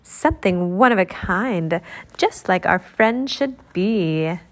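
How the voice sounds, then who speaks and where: A woman's voice: mid-range voice, animated, takes it slowly. A woman voicing a cheerful, earnest young girl genie, one of twin sisters in a children's storybook. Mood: eager.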